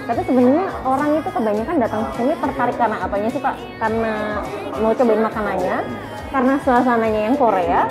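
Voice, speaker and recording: A woman hosting a casual interview, chatty and upbeat and quick to laugh, recorded in a busy restaurant. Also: on the high side. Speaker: talk show host. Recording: loud music and crowd chatter. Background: music and diners chattering.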